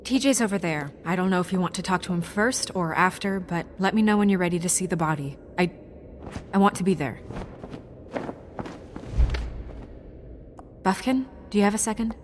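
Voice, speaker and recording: A woman's voice: on the low side, quick pace, slight background noise, natural voice. A woman playing a poised, determined fairytale office administrator who is defiant yet caring, in naturalistic game acting. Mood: businesslike.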